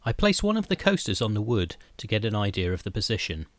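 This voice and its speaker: Calm man narrating woodwork steps. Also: fairly low pitch, fast talker, dry recording. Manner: calm, methodical step-by-step explanation. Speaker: woodworking channel host. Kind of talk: scripted how-to voice-over.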